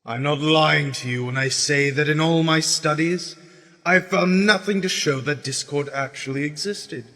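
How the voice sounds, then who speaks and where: A man's voice: fairly high pitch, normal speed, pushed and bright. An actor playing a male pony orator in a radio drama, delivering impassioned, rhetorical political speech against a tyrant ruler. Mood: scornful, persuasive. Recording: echoing hall with long reverb.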